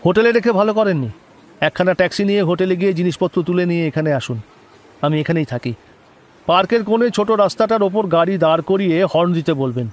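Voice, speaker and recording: A man's voice: high voice, lively intonation, fast talker. A man playing an earnest, secretive foreign government agent in an audio drama, speaking formally and politely with instructions. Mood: brisk, instructing. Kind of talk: dramatized audio story dialogue.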